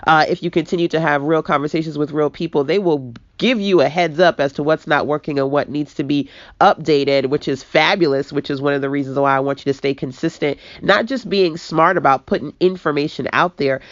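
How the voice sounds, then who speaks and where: Deep raspy Brooklyn woman, chatty. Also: a little fast, hardly any pauses, dry recording. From Brooklyn, New York. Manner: chatty, laughing asides, quotes people in voices. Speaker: business coach. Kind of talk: casual motivational talk. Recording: dull lo-fi dry recording.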